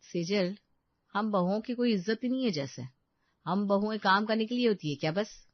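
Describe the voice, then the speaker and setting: A woman's voice: medium pitch, moderate pace, no room sound, highs cut off, lightly acted. A woman voicing a respectful, sincere elder daughter-in-law in a family story. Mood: resentful. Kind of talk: Hindi family story acting.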